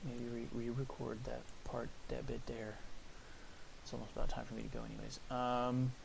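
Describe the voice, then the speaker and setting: A man streams casually over a faint background hiss, at an ordinary middle pitch. He thinks aloud in an informal, halting way, like someone working at his computer while chatting to viewers. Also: flat delivery, vocal fry. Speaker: streamer and podcast maker. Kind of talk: casual livestream chat while editing. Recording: hissy, noisy home stream recording.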